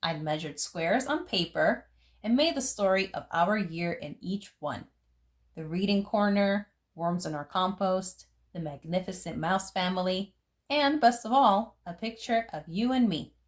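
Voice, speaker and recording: A woman reading a picture book aloud as a storyteller, phrasing it deliberately for young listeners. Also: mid-range voice, expressive. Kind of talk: expressive picture book narration.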